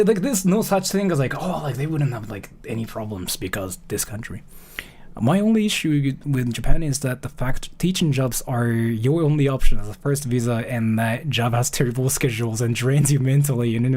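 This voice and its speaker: A man in casual livestream mode with a mid-range voice. He rambles along haltingly, circling back and repeating phrases as he gives his commentary. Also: medium pitch, darkish tone. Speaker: live streamer reacting to a video.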